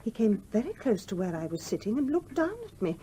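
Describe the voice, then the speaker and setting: An actress playing a small, genteel, determined English widow in a radio play, speaking in a polite, proper manner. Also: female voice, medium pitch, sing-song, a little fast, warm tone, lightly acted. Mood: startled, wondering. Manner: polite, well-spoken, proper. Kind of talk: 1970s radio play acting. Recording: noisy old radio drama recording.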